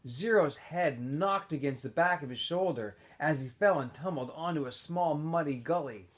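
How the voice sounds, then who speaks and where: A man, a teacher, reading a novel aloud for students, with expressive delivery, small asides and self-corrections. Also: a little high. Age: adult.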